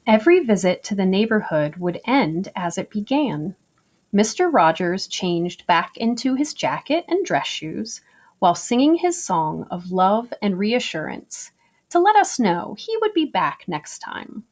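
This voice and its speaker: A woman reading a picture book aloud for children, with deliberate phrasing. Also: medium pitch, sing-song, darkish tone. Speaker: story reader. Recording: slightly dull, lo-fi recording.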